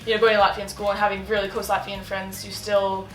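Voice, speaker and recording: A woman's voice: mid-range voice, completely flat delivery, quick pace, fairly bright tone. A young woman talking casually in an interview, chatty with many fillers and laughs. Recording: roomy echo with faint music.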